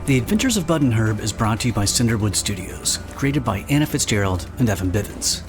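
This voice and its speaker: A man's voice: fairly low pitch, expressive, fairly quick. Man reading podcast credits over music. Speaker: announcer reading show credits. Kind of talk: scripted podcast credits read. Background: clear background music.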